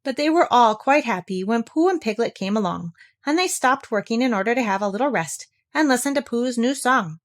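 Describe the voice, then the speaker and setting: A woman's voice: a little high, animated, a little fast. Woman narrating a children's storybook. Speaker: storybook reader.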